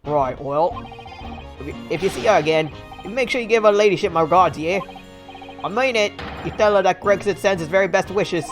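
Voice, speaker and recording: A woman's voice: on the low side, brisk, faint music behind, pitched above the performer's own voice, close to an everyday voice. A woman voicing a self-important, brusque male police inspector from a video game. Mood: hearty. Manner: blustering, brusque, pompous. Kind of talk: reading video game dialogue in character.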